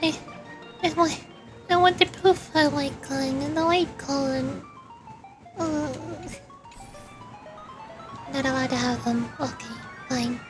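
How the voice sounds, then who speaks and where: High-voiced woman streaming a game. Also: steady tone, slow, pauses often, low-quality recording. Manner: musing aloud to herself. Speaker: streamer playing a video game. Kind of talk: casual game commentary with read-aloud dialogue. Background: game music.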